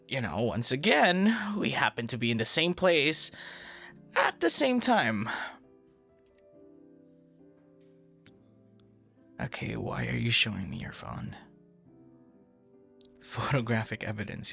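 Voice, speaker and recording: A man playing a friendly, flustered young romantic in an intimate boyfriend audio roleplay, talking in a hesitant, rambling, conversational way with soft breathy asides. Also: medium pitch, heavily acted. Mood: surprised, curious.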